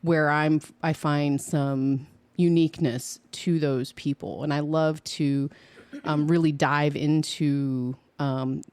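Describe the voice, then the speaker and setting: A woman co-host speaks in a casual, reflective way. She feels her way through each thought with pauses. The recording is close and dry. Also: sits low, fairly even intonation, darkish tone, smooth, no echo. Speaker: podcast co-host.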